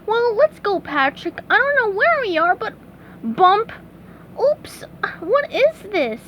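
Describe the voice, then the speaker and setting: Woman voicing an excitable, very high-pitched cartoon sponge. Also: takes it slowly, a little hiss or hum, full character voice. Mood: curious, chatty. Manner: exclamatory, dramatic, stammering when upset. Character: excitable, anxious, kindhearted young male cartoon sea sponge.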